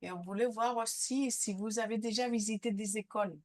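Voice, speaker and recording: A woman with a head cold leads a live online training session, speaking haltingly with frequent filler sounds. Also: a little high, darkish tone. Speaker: seminar trainer.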